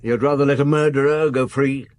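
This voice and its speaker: Radio drama detective, male actor playing the calm chief inspector; steady, probing, in command. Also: medium pitch, close to an everyday voice. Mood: questioning. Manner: measured, patient, authoritative questioning. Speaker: detective questioning witnesses. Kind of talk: 1950s-set radio drama acting.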